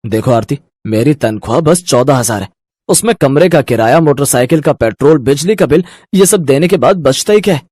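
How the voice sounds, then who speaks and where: A man plays a young married man in a cartoon family story. He talks practically and reasons with his wife at a middling pitch, in a dry, close-sounding recording. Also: expressive, fairly quick, darkish tone, no echo, lightly acted. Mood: exasperated. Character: young husband, practical, exasperated about money. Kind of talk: Hindi cartoon story dialogue.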